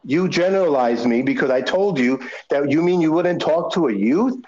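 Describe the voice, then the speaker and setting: Man, somewhat high-pitched, conversational debater, exasperated, halting and interjecting. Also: darkish tone, highs cut off, a little hiss or hum. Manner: exasperated, stammering restarts, interjecting.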